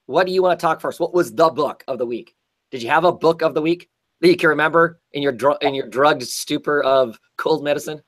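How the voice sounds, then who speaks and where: A man with a somewhat high voice hosts a loose, chatty livestream. His voice is hoarse and scratchy from a recent illness, and he rambles and restarts his sentences. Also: fairly quick. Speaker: host. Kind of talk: casual livestream chat.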